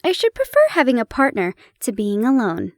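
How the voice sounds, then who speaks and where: Tired army doctor back from war, measured and earnest, played by a woman, high voice. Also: huge pitch swings, no room sound, clean studio sound. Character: weary male army doctor, seeking quiet lodgings.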